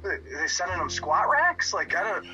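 A man's voice: high-pitched, very animated, unhurried, over music. Rambling man on a dull video call. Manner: rambling, many restarts and fillers. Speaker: podcast co-host. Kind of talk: casual chat over video call.

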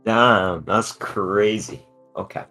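A young man hosting a casual podcast, joking and self-deprecating, with halting, stop-start delivery. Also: lowish voice, pushed and bright, faint music behind. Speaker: podcast host. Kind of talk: casual chat.

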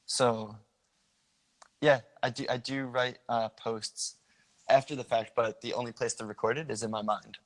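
A young man from the Philadelphia area talks in an easygoing, informal way, often pausing on "um." His pitch barely moves and stays fairly even from phrase to phrase. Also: poor audio. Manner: relaxed, informal, many ums. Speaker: guest sharing a meet-people project. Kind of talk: casual interview chat.

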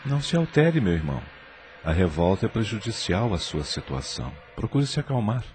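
A man playing a patient, brotherly spirit guide in a radio drama, gentle and soothing as he persuades. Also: on the low side, sing-song, fairly quick, a little hiss or hum. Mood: soothing, caring.

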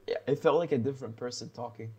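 A man's voice: medium pitch, quick pace, muffled recording, a crowd in the background. A teenage boy co-hosting a casual podcast chat, rambling with plenty of fillers and restarts. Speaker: podcast co-host.

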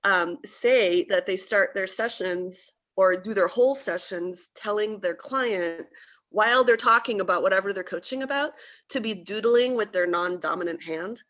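A woman's voice: medium pitch, normal speed, a bit bright. A woman coach introducing herself on a video call, hesitant and full of fillers and restarts. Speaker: coach and hobby painter.

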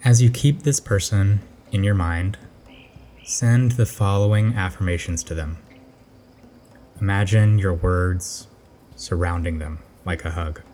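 Male meditation narrator, low register and warm dark tone, gentle and unhurried delivery, faint noise behind the voice. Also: steady tone. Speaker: meditation guide.

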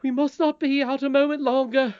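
A woman's voice: sits high, flat delivery, a little fast, no echo, lo-fi sound, voice pushed up, close to an everyday voice. A woman voicing a frightened, snappish nursemaid in a storybook, speaking urgently.

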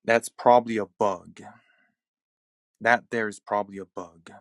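A man's voice: on the low side, steady tone, a little slow, no echo, studio quality. A man in his twenties hosting a casual chat on a live audio app, explaining things in an easygoing, rambling way. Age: 20s. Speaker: host.

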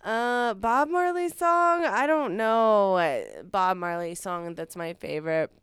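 Very clear-voiced chatty woman. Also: mid-range voice, lively intonation, a bit bright, no room sound, clean studio sound. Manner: chatty, enthusiastic, quick laughs. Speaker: radio guest. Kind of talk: casual radio conversation.

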